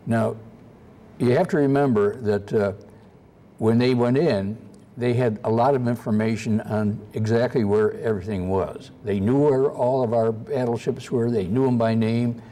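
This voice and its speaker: A soft-spoken man giving a slide-show history lecture at a convention, halting often with uhs. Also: medium pitch. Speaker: guest lecturer.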